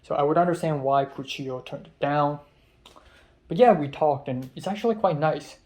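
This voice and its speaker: A man's voice: on the high side, moderate pace, darkish tone. A young man from Hong Kong, a film student, rambling through a casual vlog recap of his day with many ums and restarts. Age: young adult.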